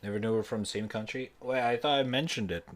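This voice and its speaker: A man's voice: mid-range voice, fast talker. A young man from Indonesia chatting casually on a live stream, rambling and swearing in a laid-back way.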